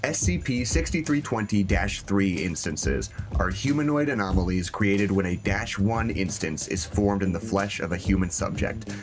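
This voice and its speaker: An actor playing a clinical reader of anomaly files, narrating formally in a measured way for an audio drama. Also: male voice, lowish voice, lively intonation, music in the background, close to an everyday voice.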